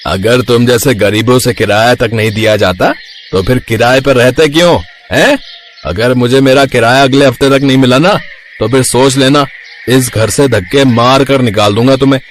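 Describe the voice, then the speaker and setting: A man voicing a harsh rich landlord in an animated moral story, scornful and threatening, over background noise. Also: mid-range voice, normal speed, close to an everyday voice. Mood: angry, scornful.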